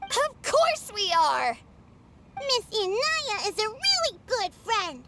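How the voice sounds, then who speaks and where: An actress voicing a young female theater dancer in a video game, speaking in a soft, sincere way. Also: very high voice, sing-song, slow, heavy background noise, full character voice. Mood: cheerful. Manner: soft, sincere and warm-hearted.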